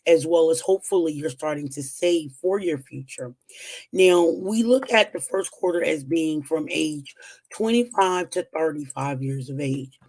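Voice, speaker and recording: Hoarse-voiced woman teaching a finance livestream. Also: on the low side, a little mellow. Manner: chatty, many fillers, upbeat and encouraging. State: voice strained after losing it. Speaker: financial educator hosting a weekly livestream. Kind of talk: casual live-stream teaching at a whiteboard.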